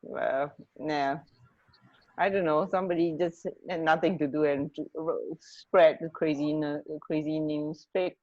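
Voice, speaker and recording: A woman's voice: low voice, steady tone, a little slow, darkish tone, dull audio. A woman from Singapore co-hosting a radio show, swapping trivia in a chatty, hesitant, giggly way. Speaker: radio co-host. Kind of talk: casual banter, sharing trivia.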